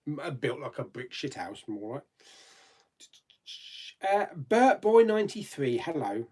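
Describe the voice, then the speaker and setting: A man's voice: mid-range voice, big pitch swings, a little slow, darkish tone, husky. A man hosting a casual live chat, rambling and reading out viewer comments, laughing easily and swearing mildly.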